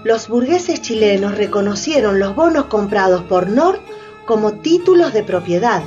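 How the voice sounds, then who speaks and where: A woman's voice: medium pitch, animated, normal speed, darkish tone. A woman reading scripted narration for a radio drama. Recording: dull sound, faint music behind.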